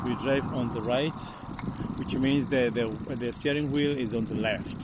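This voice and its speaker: Kenyan man vlogging over loud outdoor noise. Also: mid-range voice, steady tone. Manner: halting, repeats phrases. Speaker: vlogger, host. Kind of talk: casual outdoor vlog talk. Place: outdoors on a bicycle along village paths. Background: loud outdoor ambience.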